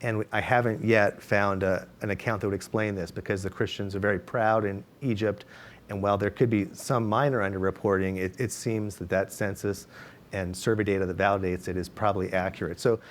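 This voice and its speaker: A man's voice: fairly low pitch, steady tone, a little fast, slight background noise. A man on a discussion panel, laying out research methods in a careful, explanatory way with many fillers and restarts.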